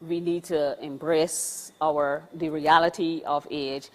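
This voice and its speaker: Barbadian woman in her fifties, playful talk-show host, slightly low voice. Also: level tone, slow pace, rough recording. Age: 50s. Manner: playful, prompting questions. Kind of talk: casual interview conversation.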